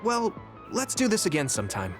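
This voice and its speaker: An actor playing an earnest, kind young soldier in a video game, with a gentle and friendly way of talking. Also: male voice, high voice, wildly swooping pitch, brisk. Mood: friendly, upbeat. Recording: background music over dialogue.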